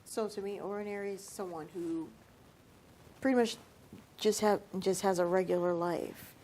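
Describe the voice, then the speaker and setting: A woman giving a measured, explanatory answer as a panelist on a talk show. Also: medium pitch, fairly even intonation, dark tone, slight background noise.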